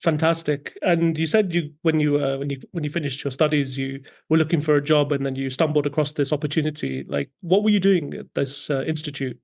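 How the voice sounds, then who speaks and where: Higher-voiced man hosting a podcast. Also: fairly even intonation, a little fast, creaky, few pauses, darkish tone. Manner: friendly, hesitant filler-laden questions. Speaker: podcast host. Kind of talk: interview podcast.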